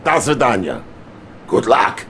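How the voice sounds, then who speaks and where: An actor playing a jovial, boastful Soviet premier with a Russian accent and grandiose, playful menace in a game cutscene. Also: male voice, mid-range voice, big pitch swings, a bit bright, a little hiss or hum. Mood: grand, commanding. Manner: grandiose, playful, theatrical pauses. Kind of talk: dramatic game cutscene acting.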